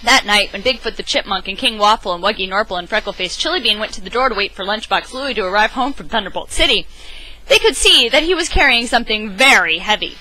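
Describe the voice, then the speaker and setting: A woman's voice: mid-range voice, lively intonation, quick pace, pushed and bright, poor audio. A woman reading a children's story aloud in a dramatic narrating style. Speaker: storybook reader. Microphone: webcam.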